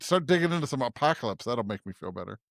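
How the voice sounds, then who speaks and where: An American man co-hosting a podcast as a pastor, chatting casually in a halting, conversational way. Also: medium pitch, very animated, gravelly, no echo, studio quality.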